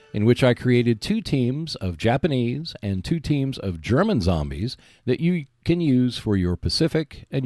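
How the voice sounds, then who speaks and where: Man podcasting with very lively pitch swings. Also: no echo. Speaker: podcast host. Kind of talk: solo podcast monologue on board games.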